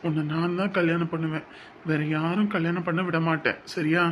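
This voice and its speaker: A performer playing an earnest young man quietly reassuring his fiancée in a family drama. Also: medium pitch, normal speed, a little hiss or hum, natural voice. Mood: hushed. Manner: hushed, insistent, reassuring.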